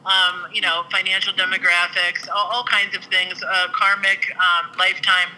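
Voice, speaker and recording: A man's voice: high-pitched, flat delivery, normal speed, sharp tone, poor audio. A man co-hosting a podcast, talking in a reflective, rambling way and agreeing often. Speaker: podcast co-host. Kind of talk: casual podcast chat.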